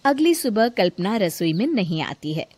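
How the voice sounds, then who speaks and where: A woman's voice: mid-range voice, very animated, normal speed, heavy background noise. A woman narrating a scripted cartoon story in a plain storytelling manner.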